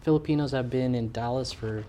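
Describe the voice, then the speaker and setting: A young adult man as an interview guest, earnest and halting, full of fillers and restarts. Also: mid-range voice, warm tone, a little hiss or hum. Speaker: community organization guest. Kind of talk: casual interview chat.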